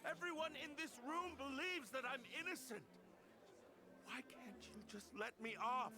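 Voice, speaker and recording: Anguished accused, high and impassioned. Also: male voice, normal speed, a crowd in the background. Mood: pleading. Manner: impassioned, dramatic. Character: anguished accused man pleading with his judge. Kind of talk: video game voice acting.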